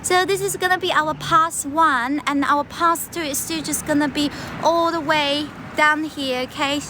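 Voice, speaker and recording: A woman's voice: sits high, steady tone, measured pace, background noise. A young woman from China with a strong Chinese accent, chatting casually on a livestream while walking outdoors, with lots of fillers. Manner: chatty, switches between English and Mandarin, frequent fillers. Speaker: livestream host. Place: outdoors on a path in a wetland park.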